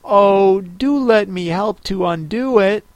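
Man doing a high, eager little girl for a storybook, dry and close. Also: slow, dry recording. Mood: pleading. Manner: eager, pleading. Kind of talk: audiobook character voice.

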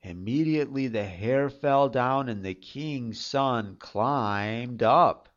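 A man narrating a fairytale from a script in a dramatic, measured, expressive storytelling style. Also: medium pitch, muffled recording.

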